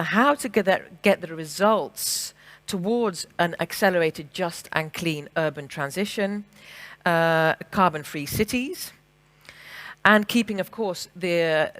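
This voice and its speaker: Brisk English-accented woman moderating a panel. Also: lowish voice, a bit bright. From England and the Netherlands. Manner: frank and brisk, many fillers and restarts. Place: conference stage.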